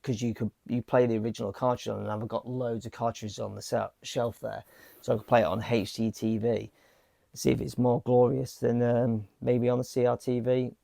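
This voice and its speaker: A man chatting casually in a home vlog, his voice croaky from a lingering cough, rambling with plenty of fillers and restarts. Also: medium pitch, little pitch movement, moderate pace, warm tone, no room sound, studio quality. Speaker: vlogger. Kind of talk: casual chat. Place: home game room. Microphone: small handheld mic.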